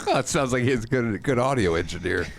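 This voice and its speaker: A man with a mid-pitched voice and very lively intonation hosts a comedy podcast. He riffs casually, prompts the bits and is quick to laugh while he talks. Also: creaky, noisy background. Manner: jokey, laughs readily while talking.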